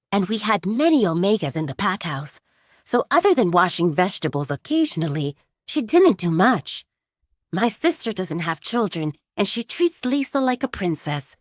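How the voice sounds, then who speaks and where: This is a woman acting a middle-aged cook in an audio drama, kindly and motherly. She speaks with lively intonation and a warm manner, in a dry, close sound. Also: mid-range voice, no room sound, natural voice. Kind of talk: audio drama character dialogue.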